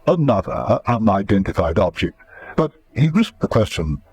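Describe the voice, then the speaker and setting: A man's voice: on the low side, sing-song, moderate pace, a little mellow. A man reading dramatic scripted narration as the narrator of a radio serial.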